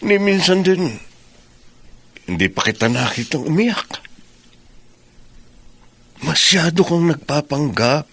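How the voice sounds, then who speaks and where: An actor with a Filipino accent playing a caring, gently insistent company boss in a radio drama, speaking emphatically. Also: male voice, a little high, expressive, vocal fry, breathy edge, no room sound, heavily acted. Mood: earnest, concerned. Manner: emphatic, pleading, deliberate phrasing.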